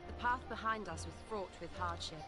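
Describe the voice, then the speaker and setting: An actress playing a solemn, inspiring leader in a fantasy game, with a formal, oratorical delivery. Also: female voice, fairly low pitch, moderate pace, over music, close to an everyday voice. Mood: solemn resolve.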